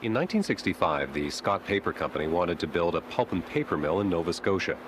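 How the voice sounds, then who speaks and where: A man reading scripted documentary narration in a deliberate storytelling manner. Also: deep voice, very animated, background noise.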